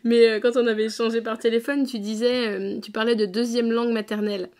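A woman's voice: fairly high pitch, flat delivery, a little fast, darkish tone. Friendly woman podcast host who laughs easily. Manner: friendly, laughs often, casual. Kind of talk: conversational interview.

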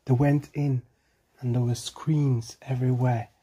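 Male bedtime storyteller, fatherly role, extremely soft-edged dark tone, slightly rough, simple repetitive delivery. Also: mid-range voice, flat delivery, measured pace. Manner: simple repetitive phrasing, prompts the child with questions. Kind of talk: improvised children's storytelling.

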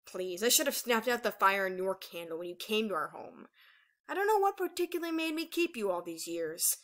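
A woman's voice: medium pitch, big pitch swings, a little fast, a bit bright. A woman voicing a menacing old female dragon villain in a fantasy story, taunting and grandiose. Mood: gloating.

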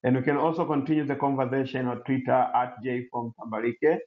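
A man from Tanzania whose first language is Swahili, giving an online presentation and answering questions, halting with many fillers and restarts. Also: medium pitch, flat delivery. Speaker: webinar presenter, incubator CEO. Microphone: laptop mic on a video call. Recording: roomy, dull webinar audio.

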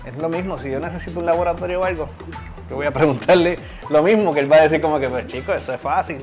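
Male cooking host, Puerto Rican accent, fairly high voice, casual and joking, clear music behind him. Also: fast talker. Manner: joking, colloquial. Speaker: chef and cooking show host. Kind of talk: casual chat while demonstrating cooking. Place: home kitchen.

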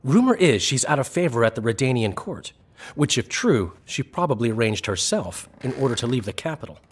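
An actor playing a flamboyant bard in a fantasy video game, wry and theatrical, with a husky voice. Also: male voice, mid-range voice, sing-song, a little fast, slight background noise, close to an everyday voice. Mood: wry, gossipy. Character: witty, flamboyant male bard and gossip.

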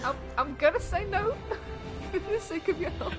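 A woman's voice: very high voice, expressive. A young woman with a Yorkshire accent, streaming a game and teasing her friend with lots of laughter. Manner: giggly, teasing, quick to laugh. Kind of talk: casual gaming chat. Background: game music.

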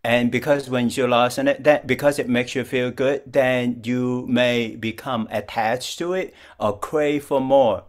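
An older man from Vietnam, a Buddhist monk, calmly giving a dharma talk over a video call, speaking slowly and patiently with frequent restarts. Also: mid-range voice. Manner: calm, slow and patient, with restarts. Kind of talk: dharma talk answering questions. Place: plain-walled room at home.

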